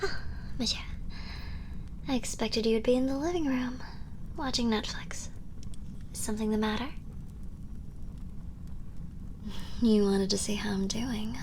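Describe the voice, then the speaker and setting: A woman playing a devoted, seductive vampire maid of noble birth in an intimate ASMR roleplay, speaking in a soft, breathy, coy and formal half-whisper. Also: a little high, normal speed, long pauses, slight background noise, close to an everyday voice. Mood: polite.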